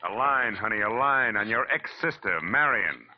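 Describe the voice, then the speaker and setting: An actor playing a tough, cynical, wisecracking private detective in 1940s radio noir style. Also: male voice, fairly low pitch, animated, moderate pace, a little hiss or hum, close to an everyday voice. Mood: urgent. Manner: hard-boiled, sardonic. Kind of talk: 1940s radio noir acting with first-person narration. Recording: muffled old radio recording with music.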